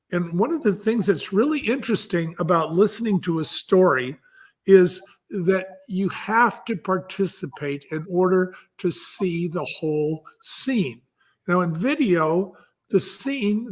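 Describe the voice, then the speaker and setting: A man talking conversationally as an interview guest, explaining things emphatically like a storyteller and repeating key phrases. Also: sits high. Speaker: audiobook producer and guest.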